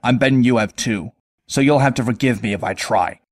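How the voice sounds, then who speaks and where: An actor playing a sardonic, world-weary young vigilante, narrating and talking in a wry, conversational way in a motion comic. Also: male voice, medium pitch, fairly quick, lightly acted. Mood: earnest. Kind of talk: first-person narration and dialogue, motion comic.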